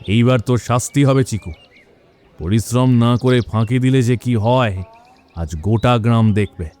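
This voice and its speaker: Stern, dark-toned village accuser played by a man. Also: mid-range voice, moderate pace, natural voice. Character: stern village man passing judgement on a cheat.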